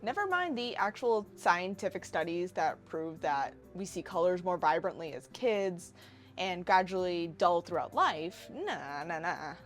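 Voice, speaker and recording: A woman's voice: on the low side, very animated, unhurried, soft background music. A woman in her twenties narrating a casual scripted video essay commentary, wry and given to sarcastic asides. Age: 20s.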